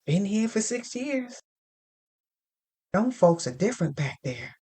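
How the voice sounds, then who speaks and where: A woman's voice: mid-range voice, sing-song, takes it slowly, mellow, slightly rough, voice pushed up, full character voice. A woman voicing a breezy, irreverent, gossipy receptionist who jokes in candid slang for an audio drama. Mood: confiding. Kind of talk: audio fiction character dialogue.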